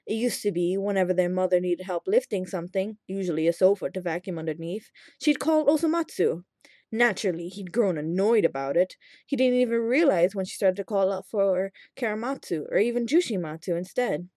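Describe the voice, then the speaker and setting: A woman narrates a piece of fan fiction with expression. Her voice is dark and relaxed, at a middling pitch. The sound is dry, with hardly any room to it. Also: brisk, no room sound. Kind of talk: expressive scripted narration of a story.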